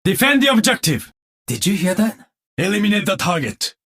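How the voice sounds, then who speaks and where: An actor playing a disciplined, stoic cyborg ninja swordsman in a video game, with a husky voice, a Japanese accent and formal, clipped phrasing. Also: sits high, very animated, moderate pace, sharp tone, exaggerated voice. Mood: urgent, commanding. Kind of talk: video game combat voice lines.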